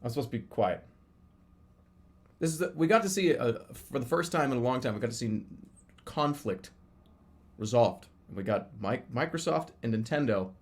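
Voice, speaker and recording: A man's voice: mid-range voice, animated, normal speed, pauses often. A man in his thirties chatting casually as a live streamer, rambling and often restarting or repeating his phrases. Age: 30s. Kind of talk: casual livestream chat commentary.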